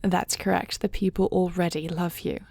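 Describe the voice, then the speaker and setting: A woman playing a regal, tender dragon queen in an audio roleplay, speaking gently with formal, measured diction. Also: on the low side, little pitch movement, creaky, no room sound. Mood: reassuring, stately. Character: regal dragon queen, tender, earnest wife and would-be adoptive mother. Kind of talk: scripted audio roleplay drama.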